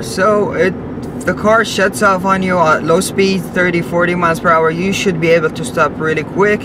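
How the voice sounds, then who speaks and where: A young man hosting a car vlog, chatting step by step while he drives, recorded on a dashboard camera. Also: high voice. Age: young adult. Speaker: car channel host. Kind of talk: casual vlog narration. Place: inside a car on the street. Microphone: camera mounted on the dashboard. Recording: road noise in a moving car. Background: car and road noise.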